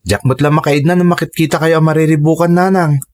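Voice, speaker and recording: A man's voice: fairly high pitch, steady tone, fast talker, darkish tone, close to an everyday voice. A man playing a dutiful, respectful young student in an audio drama, speaking earnestly. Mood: reassuring. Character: dutiful, earnest young male student, self-sacrificing son.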